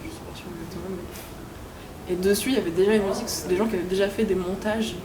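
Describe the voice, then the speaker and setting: A woman's voice: mid-range voice, steady tone, quick pace, a crowd in the background. A young woman filmmaker answering interview questions casually, hesitant, with many fillers and restarts. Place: café venue. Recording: echoey room with ambient noise.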